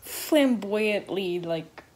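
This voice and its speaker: A woman's voice: mid-range voice, animated, drawn-out words, slight background noise. A very tired, drowsy woman talking casually and ramblingly as the host of a reaction video.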